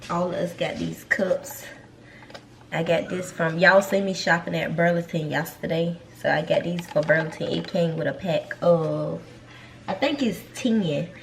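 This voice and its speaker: A young Southern woman talks to her viewers like friends, a little stuffy, rambling and circling back to repeat herself. Her voice sits low with a gravelly edge. The home recording carries a steady hiss of noise. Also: unhurried. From North Carolina. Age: young adult. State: stuffy nose. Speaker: vlogger and mom. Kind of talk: casual vlog chat. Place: home kitchen.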